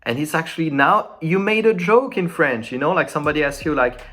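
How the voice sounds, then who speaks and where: Animated man teaching French tips. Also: on the high side, fairly quick. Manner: animated and informal, acting out sample dialogues. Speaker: French language tutor. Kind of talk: casual explanatory lesson.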